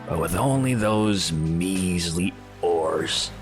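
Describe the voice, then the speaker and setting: A menacing captain of ancient sea raiders in an audio drama. His voice is deep and his delivery is unhurried, dripping with haughty contempt. Prominent music plays underneath. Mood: menacing, greedy. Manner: slow, deliberate, contemptuous delivery.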